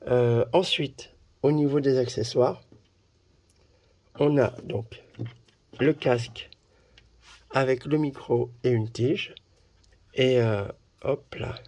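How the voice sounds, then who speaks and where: A man presenting a product in a casual hands-on demonstration, talking haltingly with pauses as he handles things. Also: mid-range voice, darkish tone. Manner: halting, pausing while handling items. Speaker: video presenter reviewing a product.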